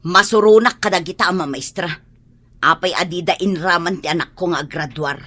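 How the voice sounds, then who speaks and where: Brief: stubborn, indignant mother, forceful sharp tone, family drama. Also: on the low side, a little fast. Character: stubborn, indignant parent of a failing student. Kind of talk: Ilocano family drama acting.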